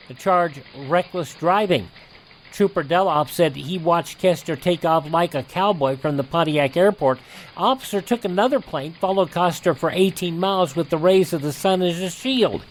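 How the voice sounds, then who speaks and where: A man hosting a radio show, reading scripted narration and news items in a deliberate announcer style. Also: on the high side, rough recording.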